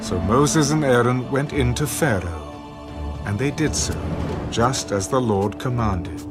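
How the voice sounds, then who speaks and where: A man narrating a dramatised Bible reading in a solemn, measured storytelling way. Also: mid-range voice, animated. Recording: prominent music under the voice. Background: loud background music.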